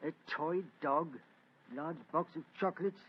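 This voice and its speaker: A man playing Lord Whittaker, an excitable, flustered aristocrat, in a vintage radio drama. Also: a little high, measured pace, slightly rough. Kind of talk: 1940s radio acting. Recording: dull old radio recording.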